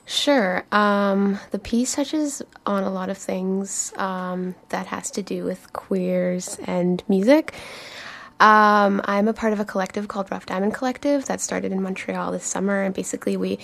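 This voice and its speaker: A woman's voice: medium pitch, unhurried, vocal fry, fairly bright tone. A woman guest in a radio studio, answering casually and chattily, with frequent fillers. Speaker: guest writer.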